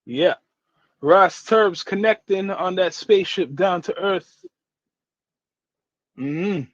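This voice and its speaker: A man's voice: sits high, takes it slowly, dry recording, lo-fi sound. A man hosting a casual podcast interview over a remote call, laid-back with slang greetings. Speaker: podcast host.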